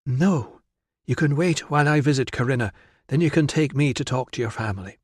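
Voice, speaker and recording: A man's voice: mid-range voice, brisk, no room sound, clean studio sound, natural voice. A man voicing a firm, impatient married woman in an audiobook, curt and no-nonsense. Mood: resigned, firm. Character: firm, impatient married British tribeswoman, sceptical. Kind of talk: audiobook character voice.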